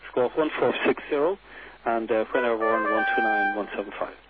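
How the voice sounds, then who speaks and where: A man working as an air traffic controller, reading out clearances over aviation radio. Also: fairly low pitch, fairly quick, loud music behind the voice. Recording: narrowband radio with loud static and clicks.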